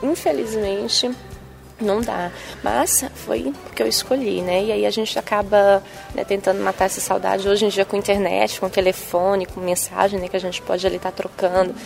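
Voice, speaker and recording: A young woman TV presenter speaks with a softened Bahian accent and a sing-song lilt. She often ends her phrases with tag questions. Her voice carries a gravelly, creaky edge, and faint music plays underneath. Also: mid-range voice, steady tone, normal speed. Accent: Bahian Brazilian Portuguese. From Bahia, Brazil. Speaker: TV journalist and presenter. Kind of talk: interview answers.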